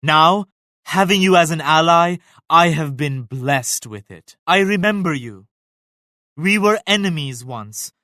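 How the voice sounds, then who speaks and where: An actor voicing a devout, dignified Mughal emperor in a video game, speaking in a solemn, formal, measured manner. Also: male voice, fairly high pitch, sharp tone, no room sound, clean studio sound. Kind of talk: scripted video game character lines.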